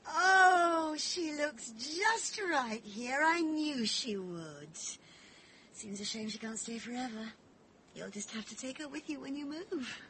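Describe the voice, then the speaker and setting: A middle-aged English mother in a radio play, high-voiced and very animated. She is eager, anxious and gently fussing, always placating. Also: slow, a little hiss or hum, heavily acted, low-quality recording. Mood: eager, nervous. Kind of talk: radio drama acting.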